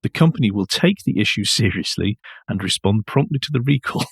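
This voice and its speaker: A man's voice: lowish voice, lively intonation, brisk, slightly rough, no room sound, clean studio sound. A man co-hosting a car news podcast in a conversational way, with a wry, blunt manner. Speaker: podcast co-host.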